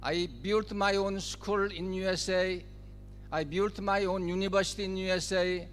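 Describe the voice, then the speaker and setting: A man's voice: high voice, level tone, moderate pace, in a large hall, a little hiss or hum. A man in his seventies from South Korea, speaking English with a Korean accent and giving a speech at a forum in short, emphatic phrases, repeating key words. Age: 70s. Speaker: guest speaker.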